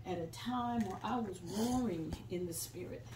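A woman's voice: mid-range voice, moderate pace, noisy background. Older woman preaching in an echoey church. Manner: fervent preaching, breaks into song, quotes scripture. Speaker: guest preacher. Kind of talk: sermon. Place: small church sanctuary, standing at a pulpit. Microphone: phone camera from the pews, far off. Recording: echoey room, far from the phone mic.

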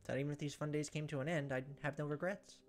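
A man's voice: fairly high pitch, fairly even intonation, fast. Man reading a visual novel aloud, music behind. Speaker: gameplay video host. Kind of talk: expressive read-aloud narration of a visual novel.

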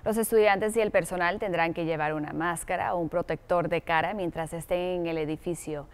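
Clear, smooth-voiced woman presenting news. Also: on the low side, fairly even intonation, brisk. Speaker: news anchor and weather presenter. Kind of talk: broadcast news and weather presenting. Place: TV news studio.

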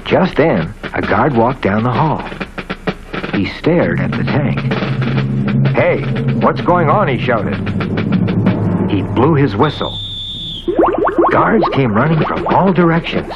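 A man's voice: medium pitch, expressive, slow pace, pauses often. A man narrating a children's storybook cartoon on a muffled old soundtrack. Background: loud music.

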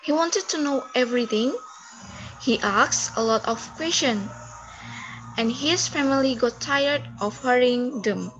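A woman's voice: high voice, measured pace, a bit bright, muffled recording. A young woman reading scripted story narration as a storyteller on a video call. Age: young adult. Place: indoor room, on video call. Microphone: video call microphone.

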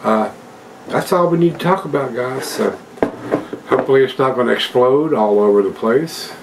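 A man's voice: mid-range voice, normal speed, vocal fry, background noise. An older man at home casually reviewing a beer, chatting to his viewers in a folksy way. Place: home room with a desk and plants, indoors.

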